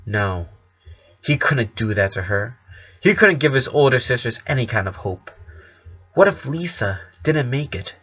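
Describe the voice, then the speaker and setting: A man's voice: medium pitch, sing-song, a little slow, a bit bright, husky. Man reading a story, dull recording. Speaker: fan fiction narrator.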